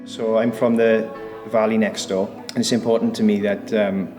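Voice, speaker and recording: Choir man, low voice, thoughtful, hesitant. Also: little pitch movement, normal speed, music in the background. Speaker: choir member. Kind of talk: casual interview.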